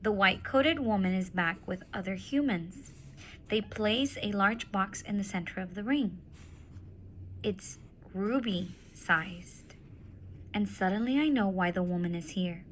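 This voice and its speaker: A woman teacher reading a children's novel aloud to her students in a slow, deliberate storytelling manner. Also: mid-range voice, slight background noise.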